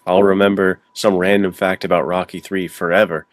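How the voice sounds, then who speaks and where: A man's voice: low voice, flat delivery, brisk, dry recording. A man hosting a podcast in casual chat, with a slangy, buddy-like way of talking. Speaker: podcast host and writer.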